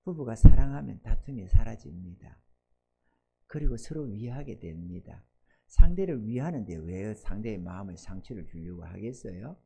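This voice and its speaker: Older male pastor, lively emphatic preaching. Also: fairly low pitch, moderate pace, vocal fry. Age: 60s. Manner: swings from loud emphatic exhortation to soft quiet asides; asks the congregation for amens. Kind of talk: sermon.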